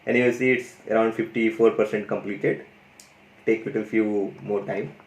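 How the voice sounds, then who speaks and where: A man's voice: on the low side, steady tone, moderate pace. A man running a casual, enthusiastic tutorial on an AI audio tool, often saying "you know" and "guys". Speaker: tech YouTuber demonstrating an AI audio tool. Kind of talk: casual screen-share tutorial. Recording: roomy echo.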